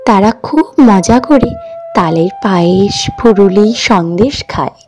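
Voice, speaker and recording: A woman's voice: mid-range voice, animated, unhurried, darkish tone, soft background music. A woman narrating a scripted fairy-tale cartoon as its storyteller.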